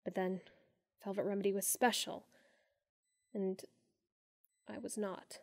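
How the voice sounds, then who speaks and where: A woman narrating a first-person story in a measured way, sinking to hushed tones in tense moments. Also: mid-range voice, little pitch movement, creaky, no echo, clean studio sound. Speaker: audiobook narrator.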